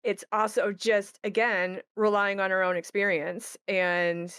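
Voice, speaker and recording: A woman's voice: medium pitch, level tone, a little slow, fairly bright tone, clean tone, no echo, clean studio sound. A woman who creates tabletop games, talking casually and thoughtfully as she explains her work. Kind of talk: casual panel chat.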